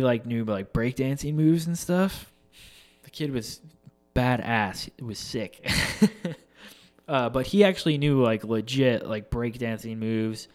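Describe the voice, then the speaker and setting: A young man tells a loose, rambling story, full of fillers, restarts and casual swearing. His voice sits at an ordinary middle pitch, with a slight congested, stuffy sound from seasonal allergies. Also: a little mellow.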